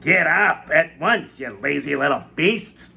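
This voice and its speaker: A man voicing a grim, tall, bony aunt who shrieks orders in a shrill voice. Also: lively intonation, moderate pace, bright, forceful tone, a little hiss or hum, heavily acted. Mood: scolding.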